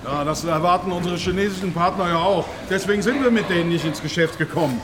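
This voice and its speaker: Aggrieved pig farmer, male actor, high voice, self-justifying and halting, naturalistic radio drama, noisy location. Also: lightly acted. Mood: indignant, agitated. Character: aggrieved, defensive male German pig farm owner. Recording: loud ambient noise of an animal barn.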